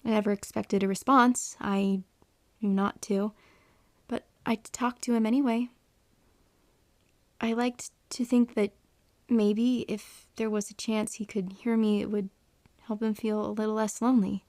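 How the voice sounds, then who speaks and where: An actress playing a lonely, earnest young hospital volunteer in an audio drama, soft-hearted and a little halting. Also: female voice, mid-range voice, level tone, no room sound, natural voice. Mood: reflective, wistful. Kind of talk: first-person audio drama narration and dialogue.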